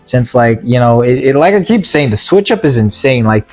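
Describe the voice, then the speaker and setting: Male movie reviewer, mid-pitched, casual and slangy, stop-and-start recap with sarcastic asides, music underneath. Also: expressive, a little mellow. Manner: halting, stop-and-start, repeating words. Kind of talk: casual, rambling film recap commentary.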